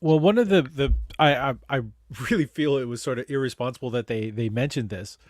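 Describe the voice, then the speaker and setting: A man's voice: mid-range voice, fairly quick, a little mellow, dry recording, studio quality. A man chatting on a video call, rambling and hesitant with many fillers and restarts. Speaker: chat show co-host. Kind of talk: casual video chat.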